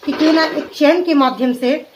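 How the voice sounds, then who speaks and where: A woman's voice: high-pitched, moderate pace. A woman answering in an interview as a campaign founder. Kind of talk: spoken interview.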